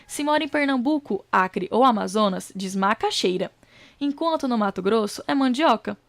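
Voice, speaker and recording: A woman with a Brazilian Portuguese accent, a student reporter, reading a scripted news voice-over in a measured, clear way. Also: on the high side, expressive, dry recording.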